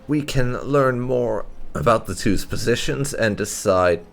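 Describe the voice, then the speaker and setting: A man's voice: mid-range voice, a little slow, vocal fry. A man doing roleplay-style commentary as he plays a fantasy game, musing aloud with thoughtful hums. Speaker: gamer, let's player. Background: game ambience.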